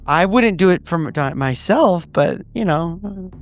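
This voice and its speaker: A man voicing a hesitant former doctor turned investigator in a horror tabletop game, speaking haltingly. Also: high voice, lively intonation, faint music behind, pitched above the performer's own voice. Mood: hesitant, defensive.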